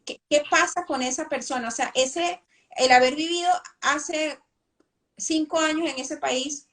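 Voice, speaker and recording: A woman with a very high voice hosts a live interview. She asks long, winding questions, circling back and filling pauses as she goes. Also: a bit bright. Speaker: host.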